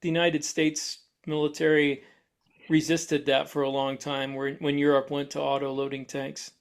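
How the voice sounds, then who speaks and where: Male co-host, mildly higher-pitched, relaxed discussion style, conversational and curious. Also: normal speed. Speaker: podcast co-host. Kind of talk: casual discussion.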